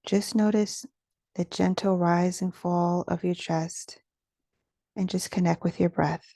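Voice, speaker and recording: A woman leading a guided meditation as its teacher, speaking in a gentle, soothing, instructional manner with soft pauses. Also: on the low side, fairly even intonation, gravelly, no room sound, clean studio sound.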